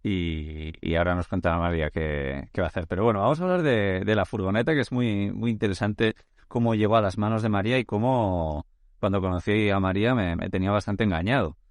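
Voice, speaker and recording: A man with a fairly low voice hosts a casual conversational podcast and chats with his guest. He talks loosely and often restarts his phrases. The sound is close and dry, with no room in it. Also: fairly quick, no echo. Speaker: podcast host.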